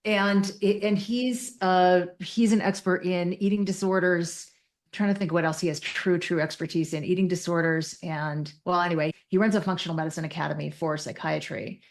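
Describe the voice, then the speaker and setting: A woman's voice: on the low side, steady tone, moderate pace. A woman speaking as a clinician guest on a podcast, direct and emphatic, correcting herself as she talks through her practice. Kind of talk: conversational clinical discussion.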